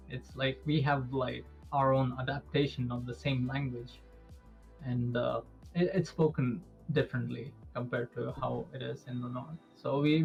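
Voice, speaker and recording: Man, Indian accent, native Urdu speaker, gravelly, muffled recording. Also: mid-range voice. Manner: explanatory, with repeated "actually" and "right?". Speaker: guest. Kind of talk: casual interview. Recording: dull lo-fi sound.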